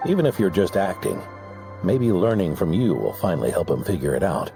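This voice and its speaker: Deep, weary grandfatherly knight. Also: very animated, fairly quick, gravelly, mellow, soft background music, heavily acted. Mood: weary, gentle. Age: older. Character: kindly weary grandfatherly veteran knight, regretful and wise. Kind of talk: video game voice acting.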